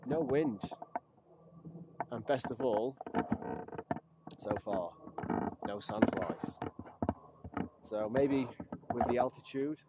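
A man's voice: mid-range voice, takes it slowly, pauses often. A man in his thirties chatting casually to a handheld camera while hiking outdoors, upbeat and easygoing. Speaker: hiking vlogger. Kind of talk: casual vlog narration to camera. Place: outdoors on a mountain trail. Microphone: action camera held at arm's length. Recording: clear outdoor noise behind.

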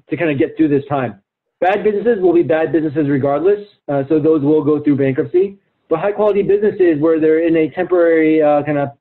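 A man speaking as an investment executive on a client call, explaining in a halting way with many fillers and repeated words. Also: fairly high pitch. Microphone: phone or conference call line. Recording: thin, phone-like conference call line.

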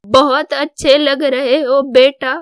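A woman voicing a proud, devoted older mother in a cartoon story, solemn and measured. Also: sits high, level tone, no echo, studio quality. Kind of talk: scripted Hindi cartoon story dialogue.

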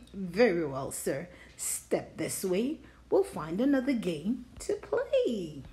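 Storybook fox, male, sly and playful, coaxing with a lively, swinging delivery woman performing. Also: medium pitch, takes it slowly. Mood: smooth, coaxing. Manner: coaxing, teasing.

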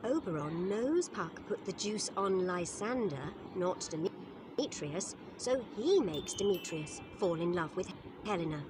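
A woman narrating a simple story in a clear, expressive, scripted storybook way. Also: fairly low pitch, measured pace, background noise. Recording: music under the voice. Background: music.

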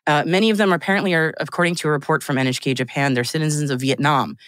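A woman's voice: low voice, fairly even intonation, racing, no echo. Chatty woman guest, dry studio sound. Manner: chatty, laughs often, many restarts. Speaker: guest podcast host. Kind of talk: casual chat.

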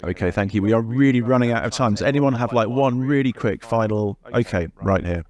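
A man's voice: mid-range voice, a little fast, darkish tone. A middle-aged man moderating a question session at a conference in an auditorium. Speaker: panel moderator. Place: stage in a large auditorium.

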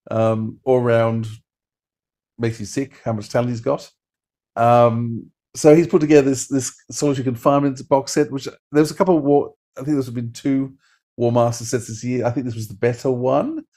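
A middle-aged man in casual podcast review chat on a video call, enthusiastic but halting. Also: medium pitch, darkish tone. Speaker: podcast co-host reviewing audio dramas. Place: home room, on a video call.

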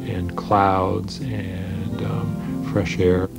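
A man with a very deep, gravelly voice talks in a documentary interview. He explains things in a relaxed, halting way with lots of fillers, and music plays clearly under him. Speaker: healthcare practitioner.

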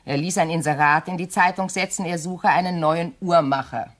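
An actress playing a composed, shrewd widow in a German crime radio play, speaking in a measured, courteous and pointed way. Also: female voice, fairly low pitch, fairly even intonation, close to an everyday voice. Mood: cool, veiled threat.

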